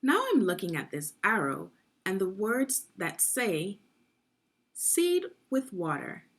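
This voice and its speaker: A woman reading aloud as a teacher in a guided lesson for young children, instructive and deliberate, often posing questions. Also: medium pitch, very animated.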